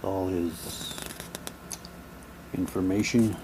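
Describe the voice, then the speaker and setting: A man's voice: low-pitched, steady tone, very slow. A man in a casual unboxing commentary, reading documents aloud and musing to himself as he goes. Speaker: reviewer showing mail-order radio drama props. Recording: clear background noise, room ambience.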